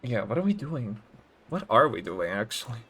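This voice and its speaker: A man's voice: medium pitch, big pitch swings, moderate pace, fairly bright tone, husky. A man giving casual live game commentary, informal and a little hesitant, with muttered asides. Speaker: game streamer. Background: game music.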